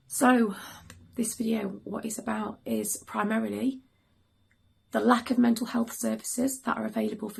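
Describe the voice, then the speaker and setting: A hoarse woman in middle age speaks earnestly to her viewers with lots of pauses and ums. Her voice is heavily gravelly and deeply raspy, and it sits a little high for a woman. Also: darkish tone. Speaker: mother and channel host. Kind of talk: earnest serious vlog talk to camera.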